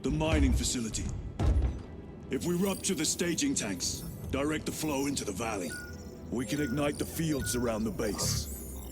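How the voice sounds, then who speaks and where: An actor playing a resolute, grave veteran lieutenant in a video game, with formal, terse, controlled speech. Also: male voice, mid-range voice, expressive, normal speed, lightly acted. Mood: commanding. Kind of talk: video game cinematic acting. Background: music.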